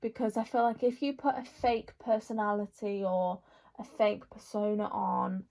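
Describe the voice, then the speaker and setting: A woman's voice: mid-range voice, level tone, normal speed, vocal fry, a little mellow. A woman, chatting casually through a makeup Q&A, rambling with lots of fillers. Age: 20s. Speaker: vlogger.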